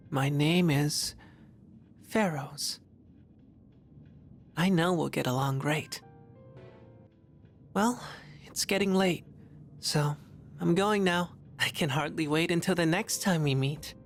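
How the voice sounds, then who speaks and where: A mysterious young boy in a video game. The voice sits low and moves at an unhurried, measured pace. It is gentle and curious, with music playing behind. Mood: friendly, curious.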